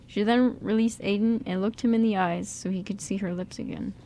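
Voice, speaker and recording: Narrator: woman, medium pitch, scripted storytelling for amateur radio drama, faint background noise. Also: fairly even intonation, fairly quick, dark tone, rough recording. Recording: some background noise and room ambience.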